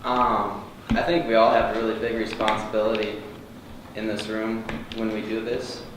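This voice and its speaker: A man's voice: lowish voice, flat delivery, moderate pace. A man speaking earnestly as an actor in a group discussion at a table read. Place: indoor room with a group. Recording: very echoey room, distant, noisy.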